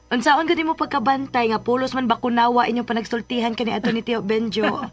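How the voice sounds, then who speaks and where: A woman's voice: a little high, fairly even intonation, fast, natural voice. A woman playing a wounded, doubting young daughter, plaintive in a radio drama. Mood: doubtful.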